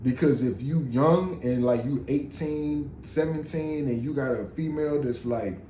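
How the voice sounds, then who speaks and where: A man, co-hosting a podcast in loud, joking, profane banter. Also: mid-range voice, normal speed, reverberant, slight background noise, poor audio. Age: 30s. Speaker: podcast co-host. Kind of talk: casual podcast chat.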